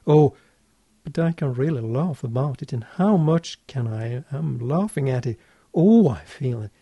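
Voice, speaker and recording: A man leading a hypnotic suggestion session, speaking slowly and softly and often dropping into whispers. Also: mid-range voice, slightly rough, dry recording. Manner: slow, soft, drifting into whispers.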